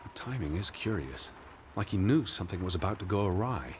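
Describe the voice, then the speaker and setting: Deep-voiced, formal, weary 1920s gentleman. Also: expressive, fairly quick, a little hiss or hum. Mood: sober, informative. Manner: formal, earnest, precise. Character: weary, anxious, bookish middle-aged gentleman searching for his sister. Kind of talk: 1920s period drama video game acting.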